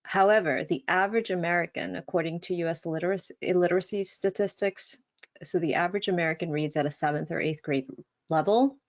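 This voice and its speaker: A woman's voice: on the low side, brisk, lo-fi sound. A woman, a native Spanish speaker, giving a casual, encouraging language-learning webinar with many fillers. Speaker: language instructor. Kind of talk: webinar presentation.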